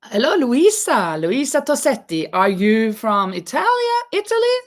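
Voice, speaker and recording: A woman's voice: on the high side, sing-song, unhurried. A woman from Norway hosting a crochet livestream from her home craft room, reading out viewer comments and counting stitches aloud with easy laughs. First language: Norwegian. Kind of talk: casual live chat while crocheting.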